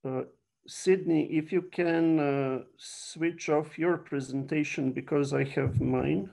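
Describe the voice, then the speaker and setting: A middle-aged man from Serbia with a Serbian accent, a native Serbian speaker, giving an explanatory online lecture with frequent halting pauses. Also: fairly high pitch, steady tone, creaky, dark tone. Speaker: university biology lecturer. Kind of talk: online lecture to a general audience.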